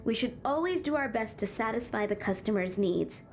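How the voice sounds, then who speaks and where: A woman's voice: fairly high pitch, expressive, fairly quick, slight background noise. A woman playing a polite but firm young tavern manager in a video game. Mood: firm, courteous. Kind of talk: animated game voice acting.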